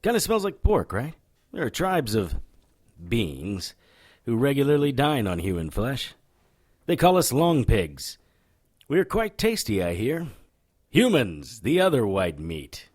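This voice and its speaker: A man's voice: medium pitch, huge pitch swings, a little slow, long pauses, a little mellow, no room sound, studio quality. A man playing a cocky, sardonic occult detective in a noir-flavored audio drama, showy and needling. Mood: smug, lecturing.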